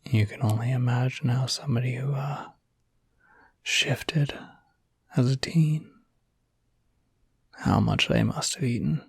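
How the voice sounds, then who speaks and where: Guy doing a kind easygoing werewolf for asmr, super breathy whisper, really slow and soothing, close dry sound. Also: mid-range voice, no echo, full character voice. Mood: caring, reassuring. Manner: soothing, halting, unhurried. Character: gentle, reassuring adult male werewolf caretaker, easygoing. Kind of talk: ASMR roleplay, intimate whispering.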